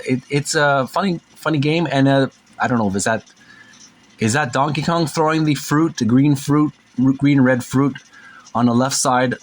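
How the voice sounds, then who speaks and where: A man hosting a casual show-and-tell in a folksy, rambling, hesitant way, sometimes reading captions aloud. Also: medium pitch. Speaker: host of a retro gaming channel.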